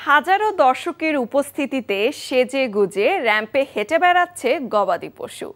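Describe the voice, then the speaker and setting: Female TV presenter, Bangladeshi accent, high-pitched and animated scripted delivery, light music underneath. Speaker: TV magazine show presenter. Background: faint background music.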